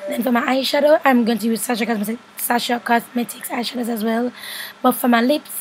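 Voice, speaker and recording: A young woman with a hoarse, stuffy voice, chatting her way through a makeup tutorial voiceover and often correcting herself as she goes. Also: fairly high pitch, a little hiss or hum, low-quality recording. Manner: chatty, rambling, self-correcting. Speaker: beauty vlogger. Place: home bedroom studio.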